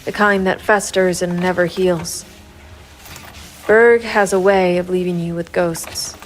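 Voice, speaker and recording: An actress playing a hard-boiled, brooding and quietly determined female private investigator in a gritty noir audio drama. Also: fairly low pitch, fairly even intonation, a little slow, close to an everyday voice, rough recording. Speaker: voice actor narrating and playing the lead of an audio drama. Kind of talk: gritty noir audio drama acting and first-person narration.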